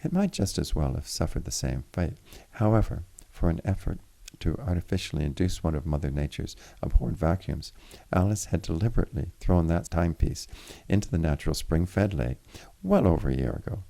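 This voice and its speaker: A man with a very low, dark voice reads a literary story aloud. His delivery is formal and deliberate, with a gravelly creak running through it and now and then a small stumble. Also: expressive. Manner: formal, deliberate reading with occasional stumbles and retakes. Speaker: reader of a story chapter. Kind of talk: literary audiobook narration.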